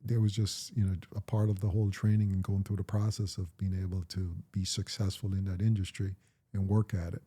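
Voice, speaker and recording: Dark-voiced Jamaican man, reflective. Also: deep voice, flat delivery, breathy edge. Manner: reflective, measured storytelling. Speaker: interviewee, parent. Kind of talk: casual conversation.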